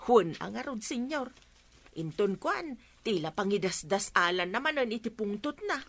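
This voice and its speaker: A woman's voice: medium pitch, animated, moderate pace, a bit bright. A woman acting a plaintive, complaining housemaid who defers to her master in a comedy radio drama. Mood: aggrieved, grumbling.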